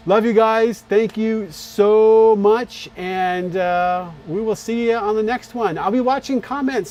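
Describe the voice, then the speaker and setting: A man with a slightly raised pitch chats loosely on a live stream, thanking viewers and drifting between topics. He talks with many fillers and repeated starts. Faint ambient noise hums behind him. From born on a US Navy base in Morocco, raised in many places. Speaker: YouTube creator hosting a live premiere chat. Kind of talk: casual live-stream chat.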